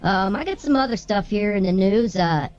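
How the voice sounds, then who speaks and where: A woman's voice: medium pitch, expressive, moderate pace, darkish tone. A brash, bossy woman co-hosting a show, reading the news with casual comic banter and swearing. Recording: slightly muffled, music underneath.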